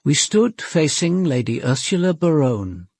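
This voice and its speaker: An actor playing a reserved, observant English police commander, narrating his case in a measured, precise way. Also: a little high, sing-song, a little mellow, dry recording, clean studio sound, exaggerated voice. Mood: reflective, grave. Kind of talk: first-person dramatic narration.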